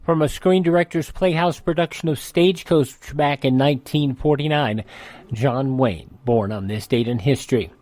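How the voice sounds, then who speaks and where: A man's voice: mid-range voice, normal speed, darkish tone, no room sound. An American man hosting radio, reading a scripted rundown of events and dates in history. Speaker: radio host.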